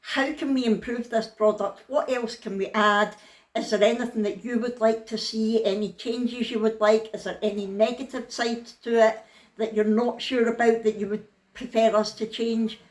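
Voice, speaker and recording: A woman's voice: on the high side, fairly even intonation, normal speed. Frank Scottish woman vlogger, echoey room. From Scotland. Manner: frank, down-to-earth storytelling. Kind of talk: casual chatty vlog.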